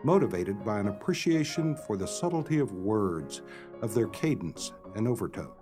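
Animated male radio announcer over music. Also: lowish voice, normal speed. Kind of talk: scripted introduction of a radio play.

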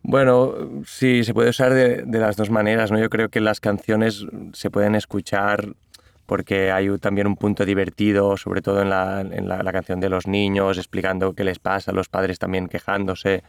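Catalan guy, low gravelly voice, smooth, talks slow and thinks out loud, dry sound. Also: monotone, no echo. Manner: thoughtful, hesitant, many restarts. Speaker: musician, interview guest. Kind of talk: interview conversation.

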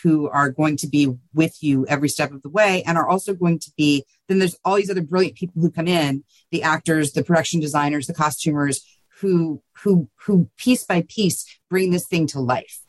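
A woman's voice: low-pitched, moderate pace, dry recording, rough recording. A woman answering interview questions as a guest writer, thoughtful and informal, often checking in with the listener. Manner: thoughtful, informal, peppered with you know and right.

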